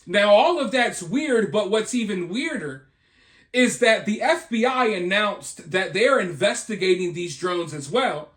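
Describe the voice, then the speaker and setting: A man's voice: sits high, lively intonation, unhurried, fairly bright tone. A man hosting an opinionated news commentary, speaking emphatically with repeated points and rhetorical questions. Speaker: video host and commentator.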